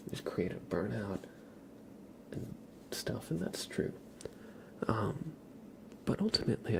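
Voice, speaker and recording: A man doing a live ASMR stream, chatting casually with viewers in a soft, breathy whisper and rambling conversationally. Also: medium pitch, low-quality recording. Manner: rambling, conversational, addresses chat by name.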